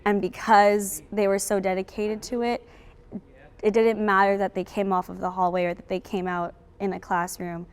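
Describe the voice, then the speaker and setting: Young female interviewee, mid-pitched, earnest and emphatic, recorded with long room reverb. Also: flat delivery, moderate pace, smooth. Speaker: high school drama cast member. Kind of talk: reflective interview answers.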